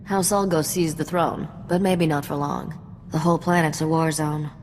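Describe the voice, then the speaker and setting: A woman's voice: sits low, fairly even intonation, normal speed, a little mellow, close to an everyday voice. A woman playing a shrewd, worldly smuggler's contact in a video game, cynical and matter-of-fact. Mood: cynical, knowing. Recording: reverberant hall sound with faint noise.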